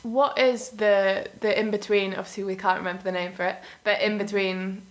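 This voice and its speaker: A woman's voice: medium pitch, fairly even intonation, normal speed. Woman host asking questions, lots of little aws and mms. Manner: warm backchanneling, frequent small reactions and laughs. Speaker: podcast host interviewer. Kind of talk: casual interview chat.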